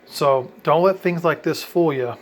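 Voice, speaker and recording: A man's voice: on the high side, unhurried, a little hiss or hum. A man in a casual how-to vlog, chatting about his radio setup with lots of ums and self-corrections. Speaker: hobby radio vlogger.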